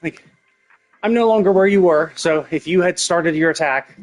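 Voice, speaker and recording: A man's voice: a little high, moderate pace. A man doing casual live-stream commentary on a game, rambling analytically as he thinks aloud.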